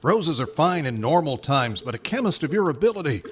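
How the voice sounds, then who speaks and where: A man's voice: a little high, very animated, brisk, slight background noise. A man playing an insistent, disapproving colleague, emphatic and challenging, in an old-time radio drama. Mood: reproachful.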